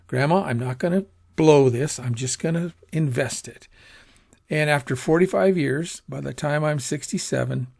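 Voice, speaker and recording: Gravelly-voiced man teaching personal finance. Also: a little high, darkish tone. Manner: conversational, rambling, self-correcting, acts out quoted asides. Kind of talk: informal lecture on personal finance.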